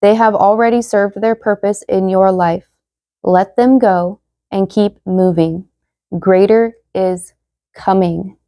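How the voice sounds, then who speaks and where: Casual tarot reader, young woman, mellow slightly hoarse voice, dry close sound. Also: medium pitch, fairly even intonation, slow pace, dry recording. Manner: chatty, many fillers, reads card messages aloud. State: losing her voice, slightly hoarse. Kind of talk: casual tarot reading to viewers.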